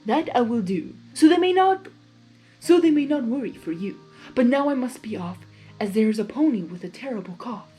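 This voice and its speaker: A woman's voice: fairly high pitch, animated, mellow, faint music behind, natural voice. Woman as a wise rhyming female zebra. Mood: reassuring. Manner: measured, rhyming, solemn. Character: wise, cryptic female zebra who speaks in rhymes.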